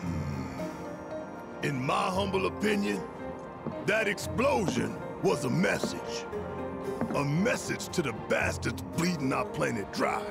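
Barret, a gravelly rebel boss. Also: male voice, on the high side, big pitch swings, slow pace, exaggerated voice. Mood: menacing. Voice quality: gravelly, gruff. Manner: confrontational, drawling, mocking. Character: burly gruff male eco-rebel leader, defiant. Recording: game audio with loud music behind.

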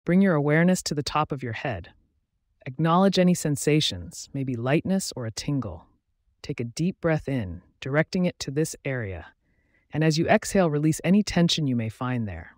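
A man with a fairly high voice leads a guided meditation in a calm, unhurried way, pausing between phrases. He is close to the mic in a dry room. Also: no room sound. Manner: slow, soothing, even delivery with pauses.

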